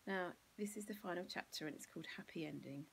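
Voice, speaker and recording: A woman's voice: lowish voice, quick pace, gravelly, a little hiss or hum, rough recording. A woman with an English accent reading a children's storybook expressively, as a teacher would to pupils.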